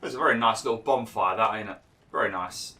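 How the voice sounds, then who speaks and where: A young man doing chatty, rambling gameplay commentary, a little hoarse and nasal from being ill. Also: on the low side, fast talker, bright, forceful tone. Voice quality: slightly nasal, froggy throat. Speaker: gaming YouTuber.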